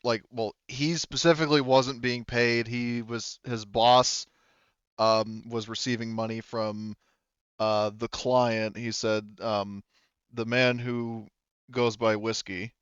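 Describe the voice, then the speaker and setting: A man improvising as game master of a tabletop roleplaying game, halting as he searches for words and swearing casually. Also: medium pitch, clear, resonant tone, no room sound, highs cut off. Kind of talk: improvised narration of a tabletop roleplaying game, relaying characters' replies.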